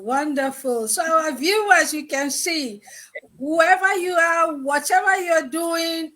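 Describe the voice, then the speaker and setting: A woman's voice: high voice, fairly bright tone. A middle-aged woman from Nigeria hosting an online interview show, speaking in an encouraging, motivational way. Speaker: show host.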